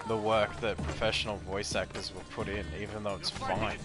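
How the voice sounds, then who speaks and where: A man's voice: fairly low pitch, level tone, moderate pace. A man giving casual gameplay commentary, ranting in an opinionated, profane way. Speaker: gaming commentator. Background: loud game music.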